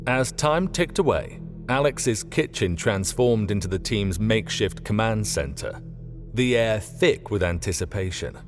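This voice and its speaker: A man reading a scripted story as an audiobook narrator, in an expressive storytelling manner. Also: on the low side, a little slow, over music.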